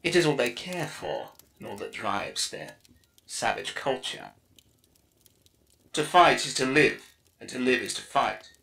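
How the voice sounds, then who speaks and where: A man plays a grave, cryptic alien warrior seeress in an audiobook. The voice is creaky and sharp, processed with effects, and speaks in solemn, portentous pronouncements. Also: mid-range voice, expressive, measured pace, exaggerated voice. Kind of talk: dramatic audiobook character acting.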